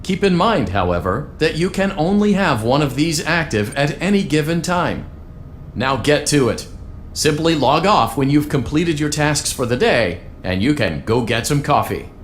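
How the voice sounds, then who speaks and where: A man's voice: a little high, sing-song, moderate pace, slight background noise. A man voicing an upbeat, salesy corporate training narrator in a video game, with a peppy, encouraging manner. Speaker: tutorial guide for a pizzeria franchise. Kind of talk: scripted tutorial narration. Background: music.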